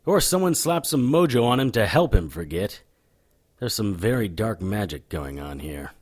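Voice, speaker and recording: Occult investigator, lowish pitch, very dry recording, wry, cocky, stubborn, audio drama. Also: male voice, big pitch swings, moderate pace, a little mellow, husky, dry recording. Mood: wry, blunt. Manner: wry, blunt, sardonic. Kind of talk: audio drama dialogue with first-person narration.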